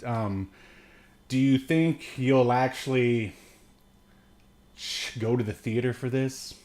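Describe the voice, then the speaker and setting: A man with a medium-pitched voice co-hosts a casual podcast. He comments on pop culture in a halting, conversational way and tends to chuckle through his sentences. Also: steady tone, a little mellow. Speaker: podcast co-host. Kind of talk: casual chat, movie news commentary.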